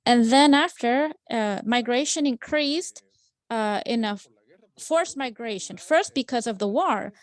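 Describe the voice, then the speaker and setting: A woman giving a simultaneous interpretation into English over a video call, halting and pausing often with fillers. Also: fairly high pitch, dry recording. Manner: halting, with frequent um fillers and restarts.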